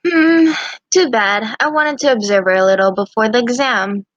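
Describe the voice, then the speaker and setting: A woman's voice: fairly high pitch, moderate pace, dry recording, muffled recording, lightly acted. A woman voicing a plucky, mischievous young student huntress with a breezy, slangy, teasing manner in an amateur visual novel. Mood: playful, scheming.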